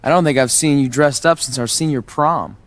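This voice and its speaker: A man's voice: mid-range voice, brisk, dry recording, lightly acted. A man playing a goofy, easygoing young wrestler in a video game, with a joking, casual delivery. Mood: breezy, joking. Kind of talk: scripted video game cutscene acting.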